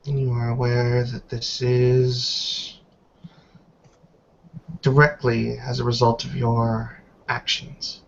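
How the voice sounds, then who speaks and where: A man voicing a stern, composed elderly matriarch in a tabletop roleplay, speaking in a calm, formal, measured way. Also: mid-range voice, vocal fry. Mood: cool interrogation. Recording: dull, noisy online call audio.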